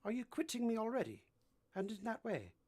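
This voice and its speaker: Give me a man playing a brooding middle-aged gentleman for an old-time radio drama, intense and commanding, with halting delivery. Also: sits high, big pitch swings, breathy edge, full character voice. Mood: tender, reluctant. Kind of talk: vintage radio drama acting.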